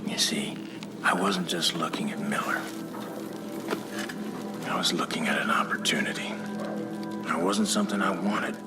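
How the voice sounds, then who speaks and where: A man with an American accent playing a menacing older army veteran turned cult commander in a video game, telling stories deliberately and breathily. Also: sits low, natural voice. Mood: grim, reflective. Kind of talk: video game villain monologue. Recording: loud music behind the voice. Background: loud music.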